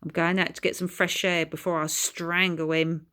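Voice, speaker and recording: A woman's voice: fairly low pitch, flat delivery, normal speed, a bit bright, clear, resonant tone, close to an everyday voice. A woman voicing a hot-tempered working-class father with a blunt, growling, colloquial manner. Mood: angry.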